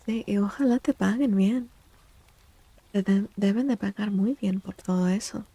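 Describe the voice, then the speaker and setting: Soft, creaky-voiced woman doing ASMR. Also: medium pitch, normal speed, no room sound. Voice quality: soft, breathy, often dropping into a whisper. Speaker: live streamer doing tarot readings for chat.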